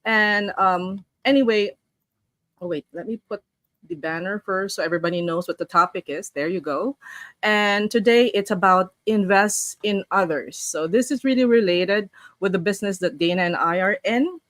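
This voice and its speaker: A woman's voice: mid-range voice, normal speed, no echo. A woman from the Philippines, hosting a casual online session and reading a story aloud with restarts. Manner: chatty, warm-up greetings, frequent restarts while reading. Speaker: host.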